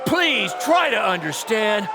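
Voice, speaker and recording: An actor playing a remorseful middle-aged wrestling promoter, pleading and emphatic, in a video game. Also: male voice, high-pitched, very animated, takes it slowly, shouting, heavily acted. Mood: pleading, guilty. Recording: noisy arena crowd behind.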